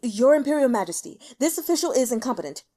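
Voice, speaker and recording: A woman's voice: sits high, sing-song, quick pace, warm tone. A woman playing a timid, fearful elder male court healer who speaks formally and hesitantly. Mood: panicked. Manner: nervous, hesitant, stammering, formal.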